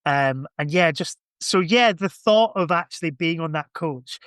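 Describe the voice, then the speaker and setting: A man talks in a fairly high voice, close and dry, as if on a podcast. His delivery is informal and reflective, with an enthusiastic edge to the casual chat. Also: lively intonation, measured pace, fairly bright tone, clear, resonant tone, no echo. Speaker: podcast speaker.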